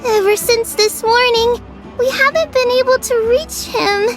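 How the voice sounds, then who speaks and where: A tiny fairy-like game sidekick with an extremely high, childlike pitch. The character is excitable and earnest, eager to help, with music playing clearly behind the voice. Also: female voice, unhurried. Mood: earnest, hesitant. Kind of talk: video game character acting.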